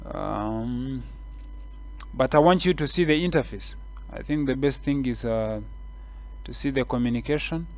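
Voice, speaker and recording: A man with a Kenyan English accent, guiding viewers step by step through a screen-share tutorial with relaxed, repeated explanations and frequent fillers. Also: medium pitch, unhurried, pauses often.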